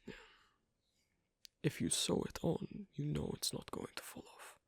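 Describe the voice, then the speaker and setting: Asocial werewolf played by an ASMR performer, breathy husky half-whisper, slow hesitant delivery, low-key and reticent, intimate roleplay. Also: sing-song, dry recording, clean studio sound, full character voice. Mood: quietly explaining. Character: asocial, reticent young werewolf on a sewing date.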